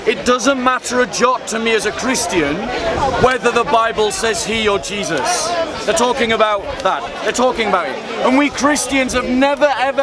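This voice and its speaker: A man debating in public, pressing his point and repeating pointed questions. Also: very high-pitched. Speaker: street debater. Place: outdoor public speaking spot. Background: crowd of onlookers.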